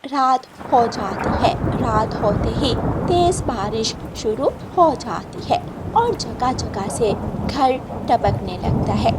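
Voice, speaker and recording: A woman's voice: fairly high pitch, expressive, slow, heavy background noise. A woman narrating a scripted children's cartoon story.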